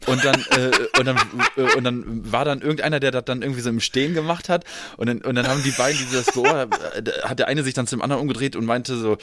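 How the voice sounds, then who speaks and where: A man's voice: mid-range voice, steady tone, fast talker, few pauses, noisy background. A man hosting a podcast, chatting casually and telling stories in a rambling, jokey way. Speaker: podcast host. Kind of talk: casual podcast banter and anecdotes.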